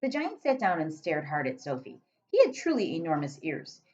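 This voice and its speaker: Animated woman reading a children's storybook. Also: sits low, moderate pace, muffled recording, a little hiss or hum. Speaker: teacher reading aloud to pupils. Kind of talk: expressive children's storybook narration.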